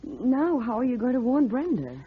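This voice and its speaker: A woman's voice: high voice, sing-song, moderate pace, husky, full character voice. An actress playing an anxious, kindly young woman, the detective's sweetheart, in a 1940s radio drama. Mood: worried. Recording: muffled old radio broadcast recording.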